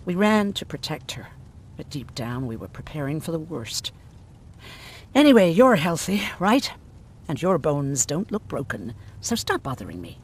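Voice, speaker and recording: Animated, higher-pitched, blunt male survivor. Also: normal speed, breathy edge, full character voice. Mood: irritated. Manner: blunt, sardonic. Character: blunt, irritable camp survivor, a man weary and sardonic. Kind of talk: video game voice acting.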